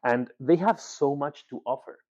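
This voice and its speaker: A man from Ecuador giving a conversational presentation as a guest speaker, often pausing with filler words and repeating himself. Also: mid-range voice, darkish tone. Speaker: guest speaker, agency founder. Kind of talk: presentation talk. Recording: slightly dull, lo-fi sound.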